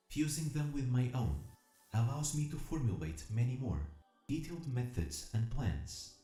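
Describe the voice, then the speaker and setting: A man's voice: mid-range voice, dark tone, echoey room. A man playing an earnest, determined, calculating combat robot, narrating an audio drama in the first person with formal, precise diction. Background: music.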